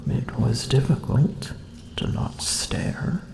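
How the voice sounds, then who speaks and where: A woman voicing a weary, aloof and vain female dragon, speaking slowly in a languid, often whispered ASMR roleplay. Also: a bass voice, slight background noise. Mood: musing. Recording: roomy echo with loud ambient drips.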